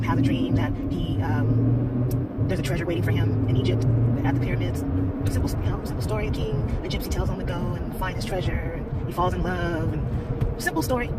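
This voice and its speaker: A woman giving a casual, unscripted book review in a halting, conversational way, searching for words as she goes. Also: lowish voice. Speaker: book club president, reviewer. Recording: noisy, distant mic, car and outdoor ambience.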